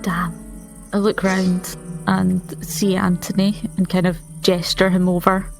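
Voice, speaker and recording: Slow, creaky-voiced woman playing tabletop game. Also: on the low side, flat delivery, faint music behind. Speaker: tabletop roleplay player. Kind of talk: casual in-character roleplay.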